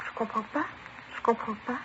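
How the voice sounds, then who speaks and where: Rapid, frightened woman witness played by an actress. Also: on the high side, close to an everyday voice. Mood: bewildered, distressed. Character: frightened, bewildered female robbery witness. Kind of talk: 1950s radio drama dialogue. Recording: muffled, noisy old radio broadcast recording.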